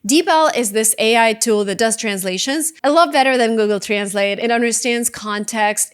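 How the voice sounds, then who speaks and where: A woman, giving casual, emphatic, conversational advice as a video creator. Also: fairly high pitch. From Russia. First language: Russian. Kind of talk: casual talking-head advice vlog.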